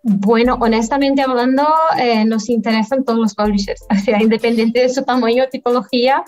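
A woman in her thirties explains her work as a guest on a video call, heard through a webcam. Her voice sits fairly high and her delivery is measured, halting between phrases. Faint music plays behind. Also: fairly even intonation, darkish tone. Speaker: guest, affiliate manager. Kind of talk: business interview answers. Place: indoor room at home, by video call.

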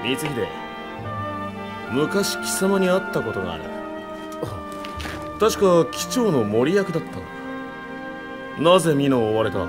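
An actor playing a stern, resolute warlord in a Japanese samurai game drama, speaking curtly and with authority. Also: male voice, fairly high pitch, sing-song, a little slow, long pauses, over loud music. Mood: calm, probing. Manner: curt, authoritative. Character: commanding warlord in his prime, stern and resolute.

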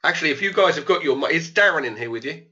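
A man's voice: fairly high pitch, animated, very fast, pushed and bright, lo-fi sound. A man who is losing his voice a bit, casually talking through live trades as the host of an online trading room. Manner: casual, thinking aloud. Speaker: trading educator.

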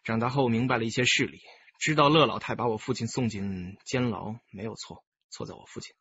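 A man's voice: mid-range voice, a bit bright, slightly rough, no room sound, highs cut off. An actor playing a composed, candid young male music teacher, speaking politely and carefully in a radio drama. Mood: earnest, reflective. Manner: polite, measured, explanatory.

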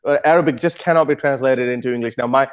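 A man's voice: medium pitch, fast, slight background noise. A man in his thirties whose first language is Urdu, hosting a heated debate over earphones on a video call. He stammers and repeats words. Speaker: host. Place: home kitchen, indoors. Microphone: earphone mic.

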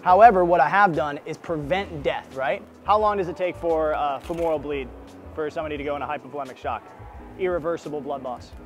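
A man in his thirties teaching strangers first aid in an indoor shopping mall, energetic and coaching, checking in with "right?" as he goes. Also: a little high, fairly quick, soft background music. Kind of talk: street demonstration teaching.

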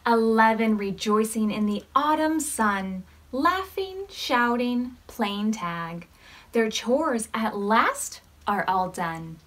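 An American woman in her thirties leading storytime as a children's librarian, reading aloud expressively to preschoolers and putting questions to them. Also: fairly high pitch. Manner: expressive, measured reading with questions to young listeners.

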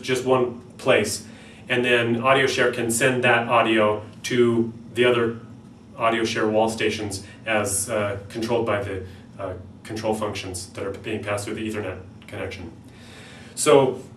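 A North American man in his thirties explains a product in a medium-pitched voice, pausing and searching a little as he goes. He is recorded indoors some distance from the microphone, so the room rings around his voice. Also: fairly bright tone. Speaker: company product presenter. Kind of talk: product demonstration talk. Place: small plain-walled room indoors. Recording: roomy, distant mic, faint background noise.

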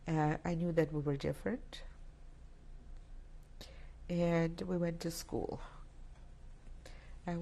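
A Polish-born woman in her sixties, a native Polish speaker, giving reflective oral history testimony in a halting way, with many restarts. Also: deep voice, steady tone, gravelly, dark tone. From Poland. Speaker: interviewee. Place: indoor studio set with plants.

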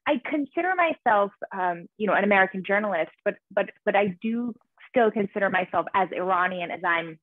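A woman's voice: mid-range voice, animated, brisk, no room sound. A woman in her thirties, originally from Iran, talks fluently and earnestly about her work and often restarts her phrases. She is on a video call from a small room. The sound is dry and thin, like a phone line. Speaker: author guest. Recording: tinny dry call audio.

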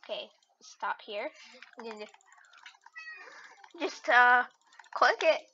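A giggly, excitable gamer chatting casually while playing with a friend. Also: medium pitch, slow pace. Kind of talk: casual gameplay chat. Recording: muffled mic with background noise.

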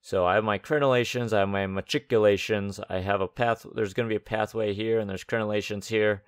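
A man with a slightly raspy voice, recovering from losing it, giving casual, unscripted gaming commentary as he thinks aloud. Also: lowish voice, steady tone, fairly quick, no echo, studio quality. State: recovering from a lost voice. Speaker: host of a gaming let's-play series. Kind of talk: casual game build commentary.

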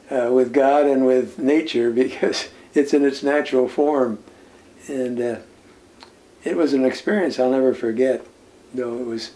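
Older man reminiscing, mellow voice. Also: mid-range voice, rough recording. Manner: unhurried storytelling with many "uh" pauses and soft chuckles. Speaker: former lighthouse keeper, interviewee. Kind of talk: reminiscing interview answers. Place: indoor room lined with nautical memorabilia. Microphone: seated interview, camera at medium distance.

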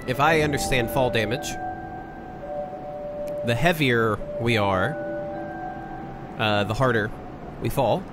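A man's voice: medium pitch, slow pace, long pauses. A man with a congested, nasal voice giving casual commentary while he plays a game, chatting to himself and reacting aloud. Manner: chatty, self-talking, reacts aloud to gameplay. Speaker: gaming video host. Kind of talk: casual let's-play commentary. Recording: loud game music behind voice.

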